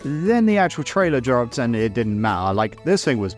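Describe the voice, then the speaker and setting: A man's voice: mid-range voice, sing-song, moderate pace, faint music behind. A man narrating a scripted video essay as host, casual and opinionated, with wry asides and the odd swear word.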